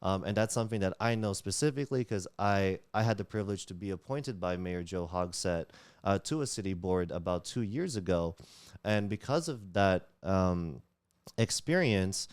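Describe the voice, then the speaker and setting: A man with a very low, smooth and resonant voice, speaking English as a Tagalog speaker. He talks earnestly in a casual interview, restarting his phrases and filling pauses. The sound is close and dry. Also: lively intonation, no room sound. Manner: earnest, many fillers and restarts, laughs while talking. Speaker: community leader, guest.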